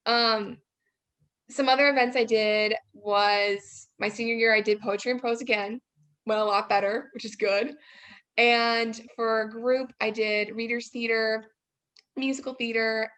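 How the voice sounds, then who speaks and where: A woman's voice: fairly high pitch, fairly even intonation, measured pace, fairly bright tone. A young woman, chatting casually as an interview guest. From Iowa, United States. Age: young adult. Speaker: guest, former speech student and teacher.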